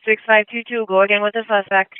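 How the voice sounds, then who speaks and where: A male police dispatcher speaking over radio traffic in a calm, clipped way, repeating back information. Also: sits high, fast, clear, resonant tone, no room sound, narrow, tinny audio. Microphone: dispatch console radio.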